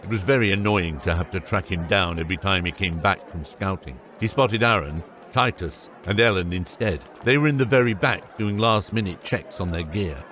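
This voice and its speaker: A man's voice: sits low, normal speed, hardly any pauses, fairly bright tone, a little hiss or hum. A man giving scripted narration for an epic fantasy audiobook. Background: background music.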